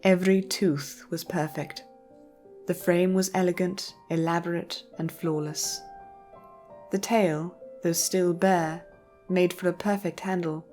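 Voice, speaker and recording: A woman reads scripted fiction as narrator. Her voice is on the low side and her delivery is measured and literary. Faint music plays behind her. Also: fairly even intonation, mellow.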